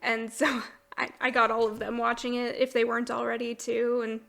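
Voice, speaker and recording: A woman's voice: a little high, completely flat delivery, normal speed, gravelly, a bit bright. A woman hosting a podcast, chatting casually in a gushing, enthusiastic way. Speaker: podcast host. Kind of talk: casual chat about books and shows.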